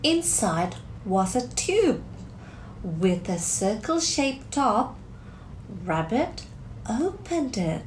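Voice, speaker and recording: Very slow, animated woman storyteller. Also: fairly high pitch, poor audio. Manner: expressive, deliberate, drawn-out key words. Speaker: storyteller, teacher reading to children. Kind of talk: animated picture-book read-aloud for children. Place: small curtained room indoors.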